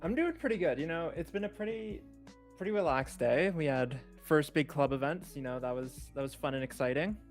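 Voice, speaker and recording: A man's voice: a little high, animated, fairly quick. A young man co-hosting a podcast, chatting in an easygoing, slangy way. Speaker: podcast co-host. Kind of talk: casual chat. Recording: music playing behind the voice. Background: background music.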